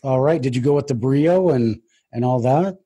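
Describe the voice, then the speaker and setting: Husky, mellow male host. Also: medium pitch, moderate pace. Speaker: host of a book interview show. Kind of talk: casual interview hosting.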